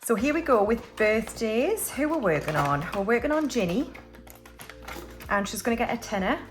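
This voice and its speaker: A British woman in her forties, chatting casually and rambling a little as she films a budgeting vlog. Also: on the high side. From United Kingdom. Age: 40s. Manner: chatty and rambling, with frequent restarts and fillers. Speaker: budgeting vlogger. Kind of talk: casual chat while stuffing cash envelopes.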